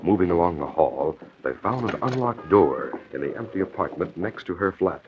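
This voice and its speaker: An actor voicing the dramatic narrator of an old-time radio crime serial. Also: male voice, deep voice, lively intonation, moderate pace, noisy background. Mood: measured, suspenseful. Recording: dull lo-fi old radio recording.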